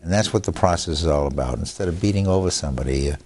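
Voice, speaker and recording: A man's voice: very deep voice, very fast, a little mellow, breathy edge. An older man, a lawyer whose first language is Italian, talking in a studio interview with restarts and chuckles. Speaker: lawyer guest. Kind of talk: studio talk show interview.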